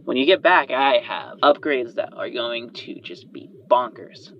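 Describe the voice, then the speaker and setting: A man's voice: medium pitch, expressive, a little slow. A man voicing an eager, chatty female inventor who is loyal and worried, speaking in a hesitant, rambling way. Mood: excited, chatty. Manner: hesitant, rambling, trailing off and sighing. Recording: muffled band-limited audio under music.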